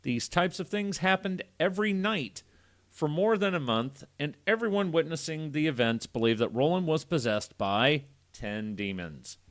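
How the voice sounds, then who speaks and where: A man's voice: a little high, animated, moderate pace. A man hosting a casual podcast, reading a story aloud with irreverent, foul-mouthed joking asides. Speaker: podcast host.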